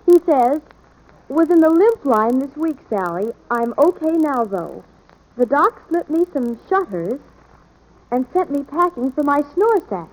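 High female voice, scripted advertising banter, 1940s radio co-host, vintage broadcast. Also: big pitch swings, unhurried, rough recording.

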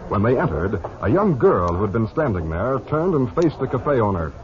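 A man's voice: mid-range voice, sing-song, normal speed. A man narrating a western story in a formal, dramatic 1950s radio style. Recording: dull old radio broadcast, music behind.

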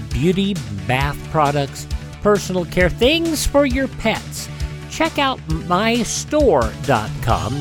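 A man hosting a radio show, announcing and reading ads in a folksy selling manner with emphatic pauses. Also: on the high side. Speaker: radio host. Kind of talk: scripted radio announcing and host-read ads.

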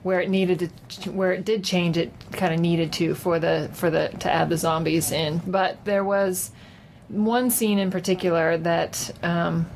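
A woman chatting casually and unscripted as a guest giving a film review, with a hesitant, halting delivery. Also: lowish voice, fairly even intonation, darkish tone. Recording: noticeable background noise.

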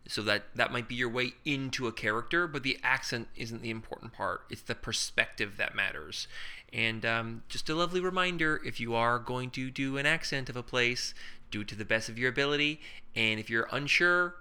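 Bright, crisp-voiced man talking improv. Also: medium pitch. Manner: explains with quick hypothetical examples and rhetorical questions. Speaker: improv podcast co-host. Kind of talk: casual instructional chat.

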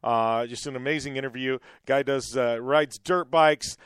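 A man hosting a radio show in a relaxed, rambling way, his voice scratchy and worn from a cold. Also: medium pitch, normal speed, clean tone, no room sound, clean studio sound. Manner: laid-back, filler-heavy, says uh a lot. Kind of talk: casual radio chat.

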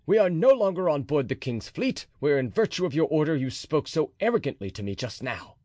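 A man's voice: a little high, big pitch swings, brisk, warm tone, no echo, clean studio sound, pitched above the performer's own voice. A man voicing a fiery, proud musketeer captain who threatens with raised, intense force. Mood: furious. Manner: raised, intense, menacing delivery.

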